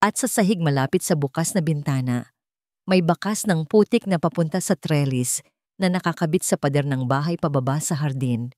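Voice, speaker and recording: Low-voiced woman narrating drama. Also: moderate pace, dark tone, no room sound, clean studio sound. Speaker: story narrator. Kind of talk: dramatic scripted narration of a love story.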